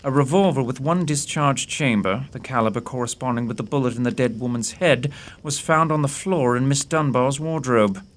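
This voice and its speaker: A man's voice: medium pitch, moderate pace, few pauses, close to an everyday voice. A man playing a self-assured master detective in an audio drama, reasoning in precise, formal phrases. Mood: analytical. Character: brisk, self-assured male master detective, analytical and urbane. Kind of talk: radio-play acting.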